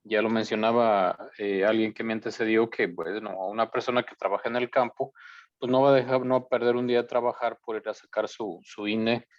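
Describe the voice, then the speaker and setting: A man's voice: on the low side, steady tone, a little fast. A man from Guanajuato, Mexico, speaking over a video call as a forum participant, polite and hesitant with many fillers. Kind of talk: remarks over a remote call. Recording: dull lo-fi call audio.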